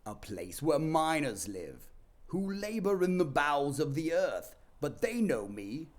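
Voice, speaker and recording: Man voicing a grand, booming, slow Christmas spirit. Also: very animated. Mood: solemn. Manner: slow, declamatory.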